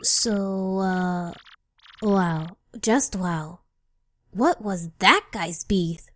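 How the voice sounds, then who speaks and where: Perky sassy young sidekick, bright voice, super dry close sound. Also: female voice, mid-range voice, drawn-out words, dry recording. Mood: baffled. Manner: perky, questioning. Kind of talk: video game dub acting.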